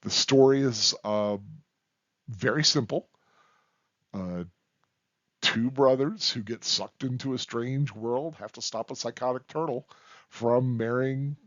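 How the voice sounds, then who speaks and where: A man giving an unscripted, rambling film review in a halting, deliberate way with emphatic stresses. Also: mid-range voice, expressive, a bit bright, no echo, muffled recording.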